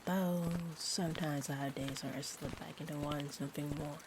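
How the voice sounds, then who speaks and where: A woman playing a sweet, shy female vampire in an intimate roleplay audio, rambling gently and hesitantly. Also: sits low, a little fast, natural voice. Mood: soft, wistful. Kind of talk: roleplay audio, intimate one-sided chat. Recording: steady rain-like ambient noise. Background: rain ambience.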